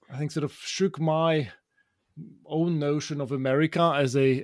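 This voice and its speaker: A man's voice: medium pitch, darkish tone, no echo, studio quality. A man co-hosting a podcast, asking questions thoughtfully and often restarting his sentences. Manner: thoughtful, many restarts and hesitations. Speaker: podcast co-host. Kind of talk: conversational interviewing.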